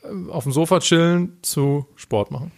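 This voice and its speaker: A man's voice: fairly high pitch, moderate pace, gravelly. A young man chatting casually and reflectively as a podcast co-host, with a dry sense of humor. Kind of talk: casual chat.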